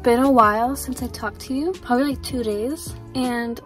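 A woman's voice: a little high, moderate pace, over music. Teen girl chatting from a parked car. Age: teens. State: recovering from a cold. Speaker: vlogger, high school student. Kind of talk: casual vlog chat to camera. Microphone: phone camera at arm's length.

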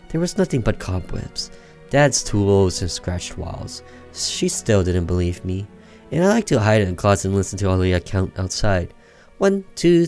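A man's voice: on the low side, darkish tone. A man doing casual gameplay commentary and reading on-screen story text aloud. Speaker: gamer doing a let's play, reading game text aloud.

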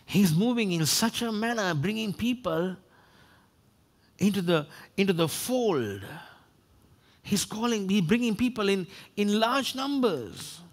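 High-pitched older male preacher. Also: animated, measured pace. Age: 60s. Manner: emphatic, repeats phrases for effect. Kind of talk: sermon. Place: church stage, indoors. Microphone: headset.

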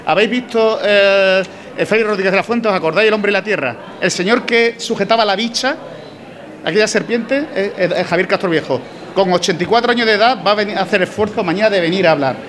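A middle-aged man giving a lively public speech at a fair opening, slipping in Portuguese words. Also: sits high, fast talker. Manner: animated, rhetorical, slips into Portuguese words. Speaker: event organizer speaking at a fair opening. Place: indoor exhibition stand at a fair. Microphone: handheld mic and press mics on stands.

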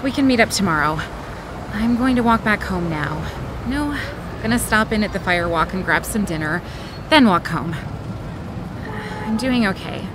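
A woman's voice: medium pitch, lively intonation, normal speed, pauses often, noisy background, lightly acted. An actress playing a wry, anxious professional woman near forty in an audio drama. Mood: subdued, apologetic.

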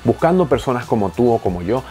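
A man in his thirties talking directly to the viewer from a home office, animated and gesturing, explaining step by step. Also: mid-range voice, fast, faint music behind. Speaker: online business youtuber. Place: home office with bookshelves, indoors.

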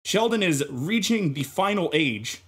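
Male podcast panelist, husky and very animated, delivering tongue-in-cheek theories with dramatic emphasis. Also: sits high, unhurried. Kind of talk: comic mock-serious theorizing.

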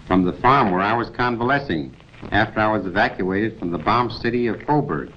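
A man's voice: lowish voice, lively intonation, a little fast, a little hiss or hum, lightly acted. An actor in a 1940s training film playing an undercover agent reciting his cover story. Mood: matter-of-fact. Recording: dull old film soundtrack.

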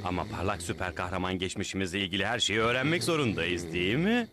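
A big, earnest cartoon superhero, low-pitched and grandiose. He speaks with bombastic, innocent reverence. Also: lively intonation, fast, noisy background. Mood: awed. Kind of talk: cartoon dub.

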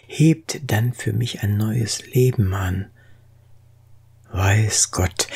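A poetry reader with a very husky, breathy voice and a creaky edge. The delivery is slow, solemn and expressive, with each phrase set down deliberately. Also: deep voice. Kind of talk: dramatic poetry recitation.

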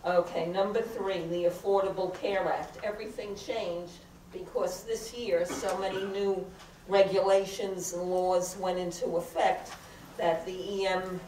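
Slow, halting older woman in echoey hall. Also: lowish voice, steady tone, darkish tone, slight background noise. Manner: deliberate, halting with frequent "uh" fillers. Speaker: resident speaking at public comment. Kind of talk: public comment at a council meeting. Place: large crowded council chamber. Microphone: podium microphone, heard from a far camera. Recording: echoey chamber recorded from far off.

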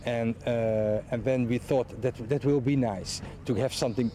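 A Dutch man in his forties answering interview questions in halting non-native English, searching for words. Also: medium pitch, steady tone, mellow, a little hiss or hum. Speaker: campsite manager being interviewed. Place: outdoors among trees.

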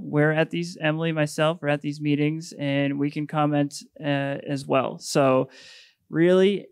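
A man in his thirties with a gravelly yet smooth voice talks to a forum in a flat, even tone. His delivery is casual and encouraging. The sound is dry and close. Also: on the high side, no room sound. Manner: casual, encouraging, many fillers. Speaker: waterkeeper. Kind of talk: public forum presentation.

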